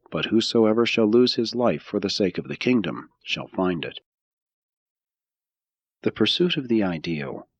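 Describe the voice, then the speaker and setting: A man reading a scripted audiobook of religious philosophy in a measured, solemn, deliberate way. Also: lowish voice, husky, dry recording, lo-fi sound. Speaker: audiobook narrator.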